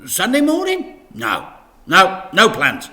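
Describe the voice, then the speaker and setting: A man's voice: high voice, wildly swooping pitch, slow pace, bright, forceful tone, voice pushed up, heavily acted. A man voicing a reluctant, evasive father in a children's storybook, hesitant and protesting. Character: reluctant, grumbling, evasive father of a young boy.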